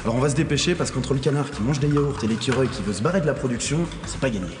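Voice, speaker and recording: A man's voice: medium pitch, very fast. A man presenting a behind-the-scenes look at a production. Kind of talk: making-of presentation. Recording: clear background noise.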